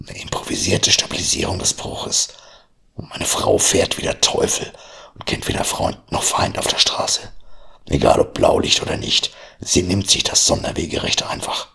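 A man hosting a podcast and reading his own short horror story in a hushed, half-whispered narration, with a husky voice. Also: low-pitched, very animated, moderate pace. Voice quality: husky, gravelly. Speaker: podcast host.

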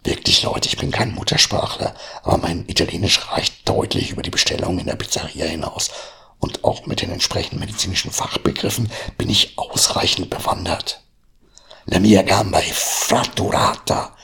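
Low, gravelly, husky-voiced man whispering. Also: normal speed. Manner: hushed, half-whispered narration. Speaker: podcast host. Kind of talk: reading his own short horror story.

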